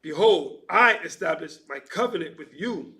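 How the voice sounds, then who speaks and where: A man reading scripture aloud slowly, stopping to restart difficult words. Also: on the high side, expressive, bright, forceful tone. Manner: slow, halting reading with restarts on hard words. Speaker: scripture reader.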